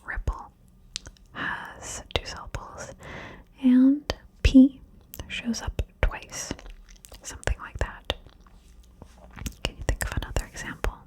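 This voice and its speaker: A woman whispering slowly and softly, coaxing and encouraging the listener through a word-game ASMR session. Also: high voice, flat delivery, breathy edge, rough recording. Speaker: ASMR host guiding the listener.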